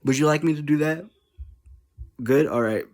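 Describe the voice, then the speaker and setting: An online creator chatting casually to viewers in a rambling, easily distracted way, energetic, giggly and self-deprecating. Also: medium pitch, moderate pace.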